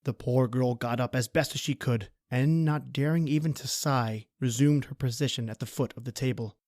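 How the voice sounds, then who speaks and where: A man's voice: medium pitch, normal speed, a little mellow, no echo, clean studio sound. A man narrating a scripted horror story in a dramatic radio-play style.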